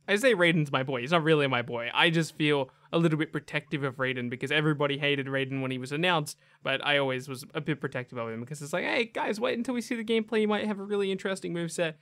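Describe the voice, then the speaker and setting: A man's voice: a little high, fairly bright tone. A young man hosting a gaming video with casual, enthusiastic, slangy commentary. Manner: chatty, slangy, effusive.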